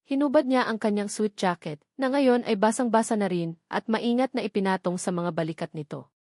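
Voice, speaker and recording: A woman's voice: medium pitch, fairly quick, a little mellow, no echo. Female narrator for romance audio drama, mid-range voice, emotive and suspenseful, close dry sound. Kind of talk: dramatic scripted storytelling.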